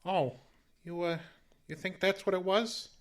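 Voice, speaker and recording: An actor playing a naive, eager, big-hearted sidekick in a comic noir radio drama, earnest and stammering with folksy slang. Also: male voice, sits high, fairly even intonation, a little slow, a bit bright. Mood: sheepish.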